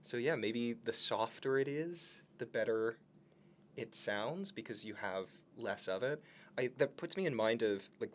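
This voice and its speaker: A man's voice: fairly low pitch, a bit bright, slight background noise. A man co-hosting a podcast in casual conversation, speaking in an encouraging, reflective way. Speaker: podcast co-host. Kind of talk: casual conversation about self-improvement.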